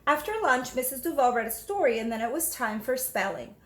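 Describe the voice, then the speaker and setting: High-voiced woman reading a storybook, echoey room. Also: a little fast. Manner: expressive, instructive. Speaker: teacher reading aloud. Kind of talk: storybook read-aloud with questions to children.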